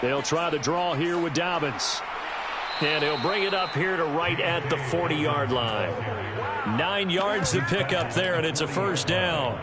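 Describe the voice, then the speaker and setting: A man playing a polished, energetic American play-by-play announcer in a football video game. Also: a little high, measured pace, very noisy, close to an everyday voice. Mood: brisk, focused. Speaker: play-by-play sports commentator.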